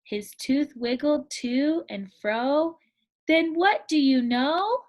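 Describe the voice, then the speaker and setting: A woman teacher reading a picture book aloud to children in a clear storytime manner. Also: high voice, slow pace, highs cut off.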